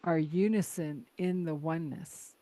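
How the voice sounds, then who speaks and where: A woman's voice: sits low, unhurried, mellow, no room sound. A woman hosting a podcast from Canada, chatty and laughing often, full of "like" and "right?". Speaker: podcast host. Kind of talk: casual conversation.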